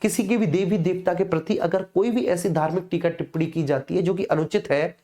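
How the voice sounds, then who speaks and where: Mellow low-voiced woman teaching a class. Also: a little fast. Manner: didactic, repetitive, checking understanding with frequent tag phrases. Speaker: exam coaching teacher. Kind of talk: informal live class explanation.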